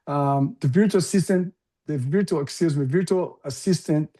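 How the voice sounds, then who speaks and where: A man's voice: fairly high pitch, warm tone. A middle-aged man from Brazil with a Brazilian accent, speaking as an interview guest in an enthusiastic, conversational way with frequent fillers. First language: Portuguese. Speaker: guest, recruiting business founder.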